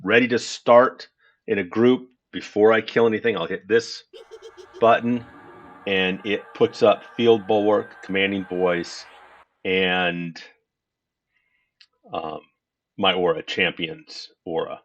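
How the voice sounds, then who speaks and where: A man talking through his game setup in a relaxed walkthrough, explaining step by step and correcting himself as he goes. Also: fairly low pitch, dull audio. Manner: unhurried and explanatory, with frequent self-corrections. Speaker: gaming tutorial host. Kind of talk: casual screen-recorded game walkthrough.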